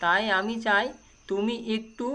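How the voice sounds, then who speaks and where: A woman voicing a patient husband in a cartoon story, advising in measured, instructive short phrases. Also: medium pitch, fairly even intonation, bright, forceful tone, a little hiss or hum. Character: husband patiently advising his two rival wives. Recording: music behind the voice.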